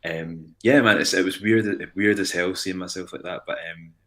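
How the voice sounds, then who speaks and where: A young Scottish man, a musician guest in a casual interview, talking in an animated, rambling way with frequent restarts. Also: sits low, normal speed. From Scotland.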